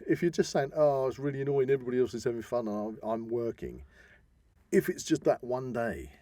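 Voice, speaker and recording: A man's voice: mid-range voice, expressive, brisk, dark tone, no echo. A middle-aged man with a British accent, talking casually and emphatically as a podcast co-host. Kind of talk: casual conversational podcast talk.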